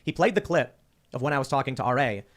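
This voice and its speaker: A man hosting a casual talk show, talking quickly and animatedly and often repeating words as he starts a sentence. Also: medium pitch, expressive. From Chicago. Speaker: podcast host.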